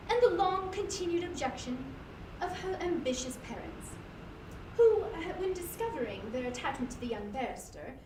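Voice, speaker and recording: A woman reading a story aloud dramatically, expressive, now and then stumbling over words. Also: sits high. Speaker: volunteer story reader. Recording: roomy, distant, noisy online livestream.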